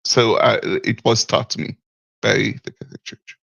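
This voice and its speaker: Gravelly man, hesitant. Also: on the low side, little pitch movement, normal speed, fairly bright tone, no room sound. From Martinique. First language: French. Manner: hesitant, searching for words, chuckles often. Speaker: caller. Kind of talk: call-in discussion.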